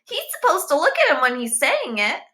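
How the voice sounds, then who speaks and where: A woman voicing schoolchildren in a storybook, gossiping and taunting with excited, needling delivery. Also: sits high, moderate pace, voice pushed up, exaggerated voice. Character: gossiping, taunting schoolchildren egging each other on.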